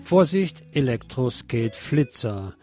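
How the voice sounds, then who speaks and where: A man's voice: mid-range voice, very animated, measured pace. A man presenting radio news with sardonic, opinionated commentary. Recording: music bed under the voice. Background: music.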